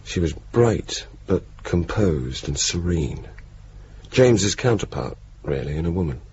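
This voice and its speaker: Radio drama: blunt young wartime RAF officer, low voice, dry and sardonic, muffled noisy recording. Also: male voice, expressive, a little slow, darkish tone, husky, heavily acted. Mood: weary, reflective. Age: young adult. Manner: dry, sardonic, sweary, decisive. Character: blunt, cynical young male RAF officer, wryly decisive. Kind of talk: radio drama acting, dialogue and narration.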